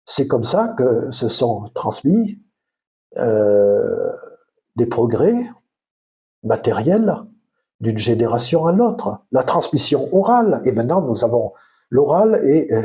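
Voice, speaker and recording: A man's voice: mid-range voice, very animated, takes it slowly, warm tone. Musing Provençal man in his fifties. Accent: Provençal French. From southern France. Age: 50s. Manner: reflective, digressive, frequent hesitations. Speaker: channel host. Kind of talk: casual vlog monologue.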